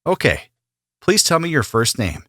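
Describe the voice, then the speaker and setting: A man playing an immigration officer who asks formal, steady questions in a scripted practice interview. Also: mid-range voice, normal speed, no echo, clean studio sound, heavily acted. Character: male immigration officer, businesslike and neutral. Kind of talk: scripted role-play interview.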